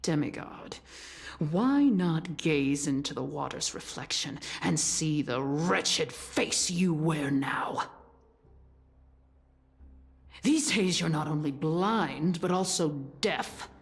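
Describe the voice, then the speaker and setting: Villainous schemer woman, real slow drawl, lowish pitch, mocking and theatrical, fantasy game. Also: expressive, gravelly, full character voice. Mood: mocking menace. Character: sneering, menacing female conspirator taunting a demigod. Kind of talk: dramatic fantasy game voice acting.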